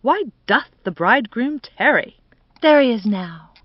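A woman's voice: a little high, lively intonation, unhurried, no room sound, lo-fi sound. A woman voicing a jocular, monocled upper-class husband in a storybook, affected and drily playful. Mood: jovial.

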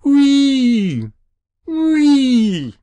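A man's voice: very high-pitched, huge pitch swings, extremely slow, mellow, no echo, voice pushed up, full character voice. A man voicing a frantic, defiant young boy with whooping screams, in an audiobook story. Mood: wild, exhilarated.

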